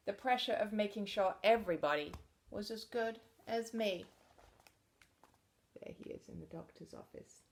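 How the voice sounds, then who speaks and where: A woman reading a picture book aloud to children in an expressive read-aloud manner, dropping to soft, chatty asides about the pictures. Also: mid-range voice, gravelly, slight background noise. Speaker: storybook reader.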